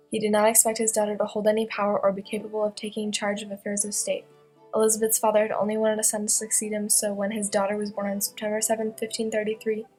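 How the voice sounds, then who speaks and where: Casting: young woman, newsreel-style reporter, fast even read with little pitch movement, guitar music underneath. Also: rough recording. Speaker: student presenter playing a news reporter. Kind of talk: scripted newsreel narration.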